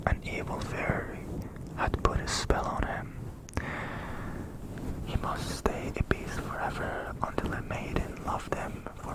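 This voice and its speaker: An ASMR storyteller speaks entirely in a breathy whisper, with no voice behind the words. The delivery is very slow, gentle and hushed, suited to a bedtime story. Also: poor audio. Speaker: ASMR storyteller and channel host.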